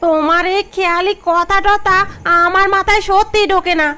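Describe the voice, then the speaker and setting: A woman voicing a shrill scolding village wife. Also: steady tone, moderate pace, bright, forceful tone. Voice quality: nagging, questioning. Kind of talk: Bengali cartoon voice acting. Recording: music under the voice.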